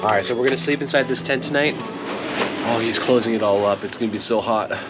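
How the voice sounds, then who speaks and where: Male family vlogger, dad type, chatty and informal, slightly higher-than-average everyday voice not required; music clearly behind. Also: fast, rough recording. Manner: informal, addresses viewers as guys. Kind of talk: casual vlog chat to viewers.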